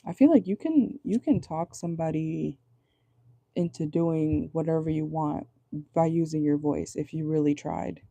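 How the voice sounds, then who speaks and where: A woman with a low voice giving a casual tarot reading from home, chatty and rambling, often checking in with her viewers. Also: normal speed, gravelly, mellow, no echo. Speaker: tarot reader. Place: at home indoors at night.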